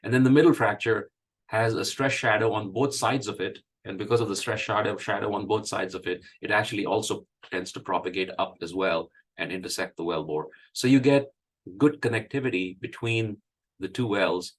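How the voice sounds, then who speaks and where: Man, hoarse from a cold, very low husky voice; halting technical presenter. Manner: halting, with many fillers and restarts. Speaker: engineering presenter. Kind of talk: technical presentation at an online meeting.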